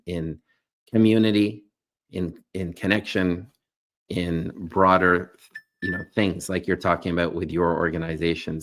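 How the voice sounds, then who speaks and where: A man hosting a podcast interview over a video call, asking questions in a halting way and restarting his words. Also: low voice. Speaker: podcast host.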